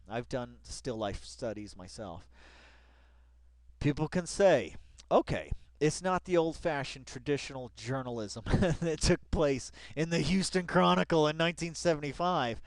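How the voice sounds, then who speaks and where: A man's voice: medium pitch, no room sound. A man giving casual commentary as he reads aloud, rambling with exclaiming, self-interrupting asides and a sarcastic, mock-dramatic delivery. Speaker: online commentator. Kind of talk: casual commentary while reading an article aloud.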